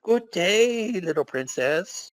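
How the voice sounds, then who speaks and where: A man's voice: sits high, lively intonation, takes it slowly, no room sound. A man voicing a fussy, shady exiled doctor with prim, evasive manners in a game story reading. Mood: curt, shifty.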